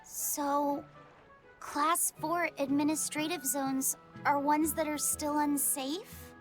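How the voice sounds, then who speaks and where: An actress playing a gentle, curious little boy in a video game, speaking in an earnest, questioning way. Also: high voice, level tone, slow, soft background music.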